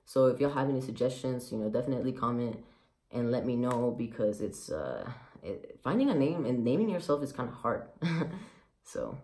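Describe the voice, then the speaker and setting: A twenty-year-old man with a light Mexican Spanish accent talks straight to camera in a casual personal vlog. His voice sits at an ordinary middle pitch, and he speaks openly, rambling and filling gaps with "um" and "like". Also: big pitch swings, normal speed, darkish tone. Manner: candid, rambling, many fillers. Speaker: vlogger.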